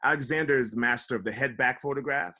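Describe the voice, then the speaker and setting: A man's voice: mid-range voice, fast, bright, forceful tone. Man reading stage directions. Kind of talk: scripted narration at a table read. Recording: online video call table read.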